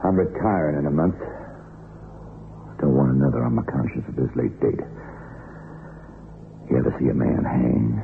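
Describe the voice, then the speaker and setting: A rock-bottom deep, weary older sheriff with a folksy voice, brooding over a guilty conscience in an old radio play. The sound is narrow and tinny, with light hiss. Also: male voice, normal speed, creaky, pauses often, exaggerated voice. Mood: grim. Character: weary, guilt-ridden small-town sheriff and hangman nearing retirement. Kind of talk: old-time radio drama acting.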